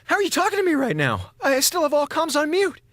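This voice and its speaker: An actor playing an excitable, sarcastic comms officer on a space station in an audio drama, rambling and melodramatic. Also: male voice, very high voice, quick pace, dry recording, studio quality, exaggerated voice. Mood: defiant, agitated. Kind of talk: modern audio drama in Golden Age radio style.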